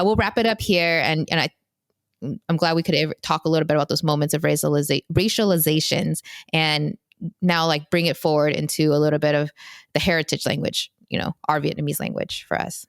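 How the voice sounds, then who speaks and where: Low-voiced woman rambling in casual chat. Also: steady tone, a little fast, no echo, clean studio sound. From United States. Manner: rambling, many "like" fillers and restarts. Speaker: podcast co-host. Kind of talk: casual chat among siblings.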